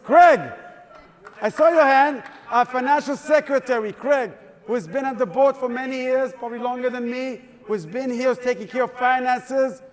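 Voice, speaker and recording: A man's voice: very high voice, normal speed. A man emceeing a live fundraising appeal in a synagogue hall, coaxing and playful with the crowd. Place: synagogue hall at an evening event.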